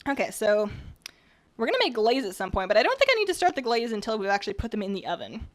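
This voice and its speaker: A woman's voice: on the high side, huge pitch swings, fast. A young woman from British Columbia streaming from her home kitchen, chatting casually and rambling while she bakes and reads chat, her voice worn from a recent cold. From British Columbia, Canada. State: recovering from a cold.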